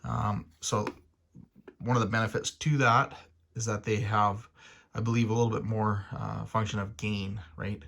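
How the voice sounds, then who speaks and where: A Canadian man giving a casual, unscripted product explainer as a channel host, with halting, rambling, hedged explanations. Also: lowish voice, flat delivery, creaky, fairly bright tone.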